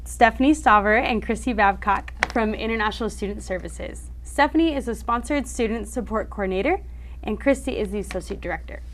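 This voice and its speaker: A woman's voice: fairly high pitch, brisk. Young woman host, upbeat and friendly, faint background hum. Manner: bright and upbeat, quiet brief replies. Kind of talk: student TV interview.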